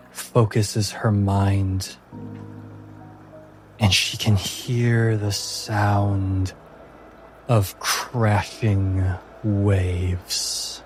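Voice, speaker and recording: A man narrating a tabletop game as game master in a measured, deliberate, dramatic storytelling manner. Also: fairly low pitch.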